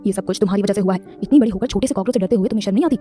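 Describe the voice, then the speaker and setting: A woman's voice: medium pitch, very fast, warm tone, faint music behind, natural voice. A woman voicing a haughty, irritable young businessman in an audio story, with an emphatic, defensive, scolding manner. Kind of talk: dramatised audio story dialogue.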